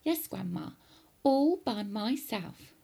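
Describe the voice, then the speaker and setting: A woman's voice: medium pitch, very animated, slow, creaky, mellow, husky, full character voice. A woman voicing an earnest, eager young boy who calls out defiantly in a children's storybook. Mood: insistent. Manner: calling out and protesting. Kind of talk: children's storybook character reading.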